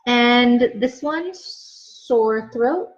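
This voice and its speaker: Slow, patient female English teacher. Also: fairly high pitch, animated. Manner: patient, repeats words and checks with "okay?". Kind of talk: slow, clear ESL lesson explanation. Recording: slightly dull, lo-fi sound.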